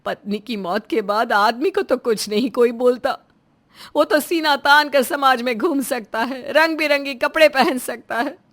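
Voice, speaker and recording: A woman's voice: high-pitched, unhurried, a bit bright. A woman as a tearful, plaintive young widow. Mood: despairing, tearful. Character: sorrowful young widowed daughter-in-law, meek and despairing. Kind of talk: melodramatic Hindi audio story acting.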